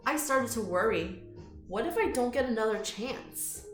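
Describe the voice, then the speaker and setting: Higher-voiced woman reading storytime in a roomy space. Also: moderate pace, soft background music. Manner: expressive, inviting, addresses listeners as friends. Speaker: children's storytime reader. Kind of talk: read-aloud picture book with chatty asides to children. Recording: roomy echo.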